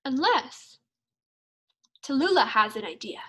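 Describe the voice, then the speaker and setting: A woman teacher reading a storybook aloud for young children, expressively and in measured phrases. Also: sits high, big pitch swings, a bit bright, husky. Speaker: second grade teacher.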